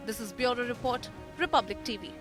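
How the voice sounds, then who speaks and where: A woman's voice: on the high side, a little fast. A woman narrating a scripted television news report as a voice-over. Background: music bed under voice-over.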